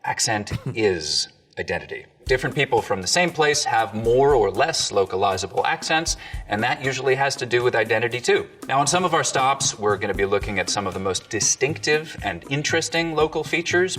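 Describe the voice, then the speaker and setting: A man who works as a dialect coach gives a clear, measured, scripted explanation in an educational video. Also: fairly low pitch, expressive, fairly bright tone. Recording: distant tinny video audio with faint music.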